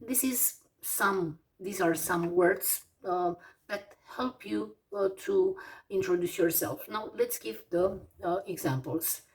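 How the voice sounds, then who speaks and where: A Romanian woman in her forties with a Romanian accent, teaching English vocabulary slowly, with many fillers and pauses as she lists words one by one. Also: mid-range voice, recorded from a distance. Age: 40s. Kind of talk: slow vocabulary lesson.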